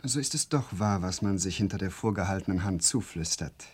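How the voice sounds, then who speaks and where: A man's voice: low-pitched, animated, fairly quick, darkish tone, a little hiss or hum. An actor playing an earnest young English nobleman in a German radio play, with theatrical, formal diction. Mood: polite.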